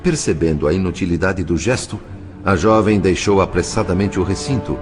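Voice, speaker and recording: A man with a Brazilian accent narrating a novel as an audio drama, in a measured, literary storytelling style. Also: sits low. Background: music.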